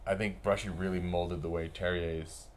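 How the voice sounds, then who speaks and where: Man, deep voice, laid-back and sweary storyteller in a casual chat, noticeable background noise. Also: flat delivery, normal speed. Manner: slangy, profane, laid-back. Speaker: podcast guest, veteran pro snowboarder.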